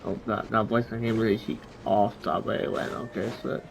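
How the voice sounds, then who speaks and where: A man casually reading aloud and chatting while playing a video game, halting and stumbling over words. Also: lowish voice, flat delivery, creaky, noisy background.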